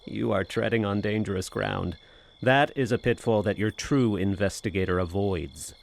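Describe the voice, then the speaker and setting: An actor playing a skeptical, formal gentleman in a suspense radio drama, speaking in measured, literary phrases. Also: fairly low pitch, vocal fry. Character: skeptical, formal gentleman summoned as friend and narrator. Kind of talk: old-time radio suspense drama acting.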